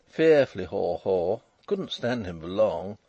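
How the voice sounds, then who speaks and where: A man's voice: low-pitched, big pitch swings, a little slow, warm tone, no echo, highs cut off, full character voice. A man voicing a proud young English husband with a broad accent, eager to impress in a storybook reading. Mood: scornful. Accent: broad regional English. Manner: hasty, bluffing, plain-spoken.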